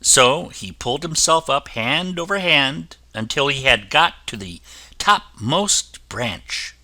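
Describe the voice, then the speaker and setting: A man reading a children's fairy tale aloud as the storyteller, with an expressive delivery. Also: mid-range voice, slow pace, no room sound.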